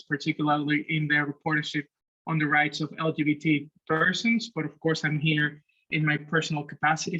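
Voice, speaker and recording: A man's voice: fairly high pitch, pushed and bright, dull audio. A man in his twenties from the Dominican Republic, a native Spanish speaker with a Dominican accent, introducing himself as a panelist. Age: 20s. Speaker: human rights lawyer on a panel.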